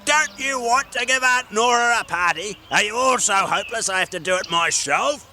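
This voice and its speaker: A man's voice: high-pitched, very animated, moderate pace, pushed and bright, slight background noise, voice pushed up, exaggerated voice. A man voicing a small, bossy male gnome who growls and barks out orders. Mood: bossy shouting. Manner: gruff, barking orders.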